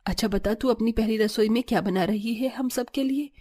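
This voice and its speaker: A woman's voice: on the high side, normal speed, mellow, lightly acted. A woman voicing a curious, teasing older mother-in-law in a cartoon.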